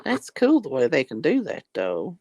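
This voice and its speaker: A woman chatting casually with her viewers in a folksy, religious way, calling them honey. She keeps clearing her throat as her voice gives out. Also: low voice, wildly swooping pitch, moderate pace, darkish tone, no echo, clean studio sound. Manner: religious, often says Lord have mercy. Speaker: vlogger reading viewer comments. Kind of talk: casual live chat.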